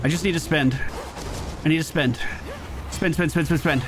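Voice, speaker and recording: A man doing live gaming commentary, excitable and exclamatory, swearing and rapidly repeating words. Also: on the high side, over music. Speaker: video game streamer.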